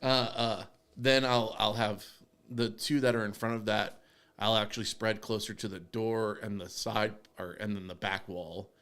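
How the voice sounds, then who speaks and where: Male podcast co-host, low gravelly voice, loose conversational explainer. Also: steady tone, normal speed, a bit bright. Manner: rambling, explains step by step with restarts. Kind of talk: casual chat.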